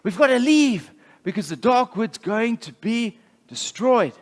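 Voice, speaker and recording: A man's voice: very high voice, lively intonation, measured pace, big-room sound, pitched above the performer's own voice, full character voice. A man voicing a weary traveler weighed down by a heavy burden in a storybook tale. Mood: earnest. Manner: earnest, straining.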